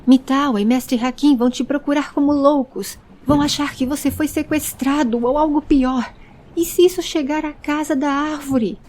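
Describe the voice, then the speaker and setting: A woman's voice: high-pitched, steady tone, normal speed, a little mellow, voice pushed up, natural voice. A woman voicing a hot-tempered, protective male traveller in an audio story, scolding in an angry, emphatic way. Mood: furious.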